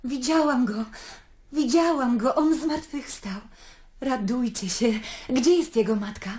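Soft-toned, rapt devout woman. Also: sits high, a little slow. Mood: rapt, joyful. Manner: awed, fervent. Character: rapt, devout woman bearing miraculous news. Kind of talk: radio drama acting.

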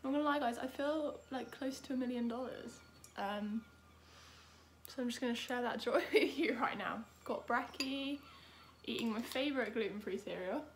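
High-voiced young British woman vlogging. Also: normal speed, slight background noise. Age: young adult. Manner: chatty, self-deprecating, informal. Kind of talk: casual vlog chat to camera.

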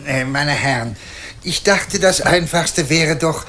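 An actor playing an amiable professor who speaks hesitantly and repeats words, in a German radio play. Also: male voice, fairly high pitch, slow pace, bright, forceful tone. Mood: hesitant, musing.

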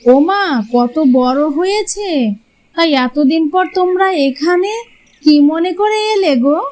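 A high-voiced, grumbling village matron, acted by a woman in a cartoon moral tale. She is the bitter elder co-wife, full of self-pity, complaining and brushing others off. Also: lively intonation, a little slow, a little mellow, low-quality recording. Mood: surprised, suspicious. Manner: complaining, dismissive.

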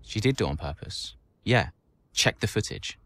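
Casting: curt male racer, animated intonation, blunt resentment, naturalistic game acting. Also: on the low side, moderate pace. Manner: blunt, clipped.

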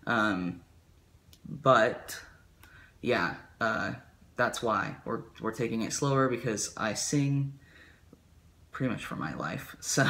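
Bright-voiced young man rambling to camera. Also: fairly low pitch. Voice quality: voice cracking. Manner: rambling with many fillers and likes. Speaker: vlogger. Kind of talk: casual talk to camera. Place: small bedroom with ceiling fan.

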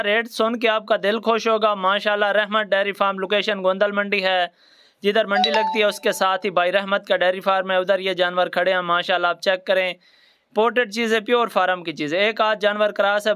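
A man's voice: high-pitched, fairly even intonation, a little fast, bright, forceful tone, clear, resonant tone. A man with a Pakistani accent narrating a promotional livestock sales video in repetitive sales patter.

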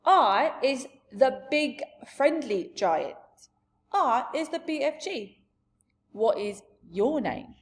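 A woman's voice: high-pitched, very animated, takes it slowly, voice pushed up, heavily acted. A woman voicing a big, friendly, blustering male giant from a storybook, speaking emphatically in jumbled grammar and made-up words. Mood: protesting. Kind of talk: storybook character voice.

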